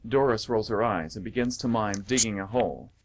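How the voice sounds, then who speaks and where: A man's voice: fairly low pitch, a little fast, a little hiss or hum. A man narrating scripted stage directions for a radio play.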